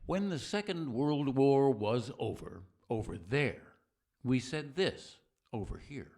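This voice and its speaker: A man playing an earnest, civic-minded narrator leading a scripted discussion, measured and rhetorical. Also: medium pitch, animated, a little mellow. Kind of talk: scripted audio play, civic reading.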